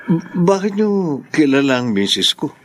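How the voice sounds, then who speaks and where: A man with a Filipino accent playing a polite, gentle husband and tradesman in a radio drama. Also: a little high, big pitch swings, moderate pace, no room sound. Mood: polite, wary.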